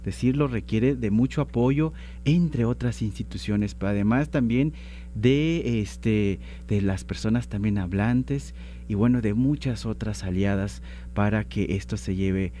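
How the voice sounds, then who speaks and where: A man's voice: lowish voice, measured pace, dark tone, a little hiss or hum. A Mexican man hosting a conversational radio interview, feeling his way toward words with hesitant phrasing. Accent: Mexican Spanish.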